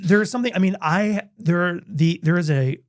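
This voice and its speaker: Podcast co-host, male, higher pitch, loose rambling talk full of ums. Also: moderate pace. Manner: rambling, many fillers and restarts. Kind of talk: casual chat.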